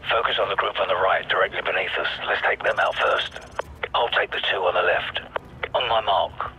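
An actor playing a tough, watchful British special forces commander, giving terse, controlled tactical orders in a British accent. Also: male voice, low-pitched, steady tone, fairly quick, pushed and bright, a little hiss or hum, rough recording. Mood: focused. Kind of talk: tactical game dialogue.

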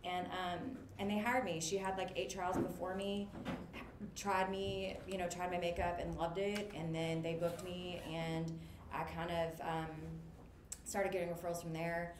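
Woman in her thirties, low voice, casual chat, roomy webcam sound, audible background noise. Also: little pitch movement, a little slow. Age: 30s. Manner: chatty, lots of fillers, laughs easily. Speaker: guest, makeup artist business owner. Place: indoor room, on a video call.

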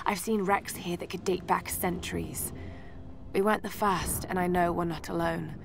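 An actress with a British accent playing a young shipwrecked archaeologist, anxious but determined, in a hesitant, introspective video game journal. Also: female voice, on the low side, vocal fry. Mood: uneasy, awed.

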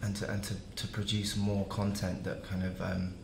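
Deep gravelly man, flat and halting. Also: reverberant, background noise. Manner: halting, searching for words. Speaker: panelist. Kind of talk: casual panel discussion.

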